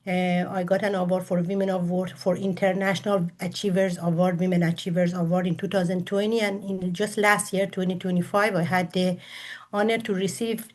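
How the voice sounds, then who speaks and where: A woman's voice: lowish voice, flat delivery, fairly quick. A middle-aged woman from Iran with an Iranian accent, speaking earnestly and formally as a panelist on a video call. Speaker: panelist, women's empowerment coach. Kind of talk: prepared self-introduction at a virtual panel. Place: virtual summit video call.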